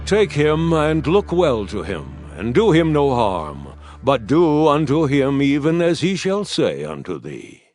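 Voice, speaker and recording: Animated, commanding Babylonian king. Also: a little high, measured pace, no echo, soft background music. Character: ancient Babylonian king, commanding, imperious, protective. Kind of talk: dramatized scripture reading.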